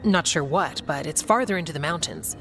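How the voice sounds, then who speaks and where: A woman's voice: low-pitched, animated, fairly quick, a bit bright, a little hiss or hum, low-quality recording. An actress playing a practical female warden in a fantasy video game, matter-of-fact and dry.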